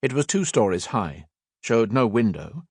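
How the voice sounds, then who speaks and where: Expressive male audiobook narrator, dry studio. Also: lowish voice, husky, no room sound. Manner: measured, expressive storytelling delivery. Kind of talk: scripted narration of a classic novel. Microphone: close studio mic.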